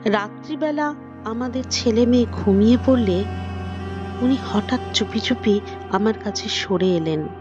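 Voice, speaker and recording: A woman's voice: a little high, measured pace, lo-fi sound, natural voice. A woman voicing a tender, motherly wife who narrates her married life reflectively and intimately in an audio story. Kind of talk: dramatised audio story narration in first person. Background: prominent music.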